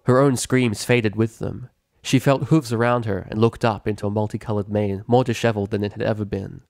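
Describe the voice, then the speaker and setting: Guy narrating fiction, deep-ish crackly voice, very dry recording. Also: fairly even intonation, a little fast, vocal fry, darkish tone, dry recording. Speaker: narrator reading fan fiction. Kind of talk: scripted story narration.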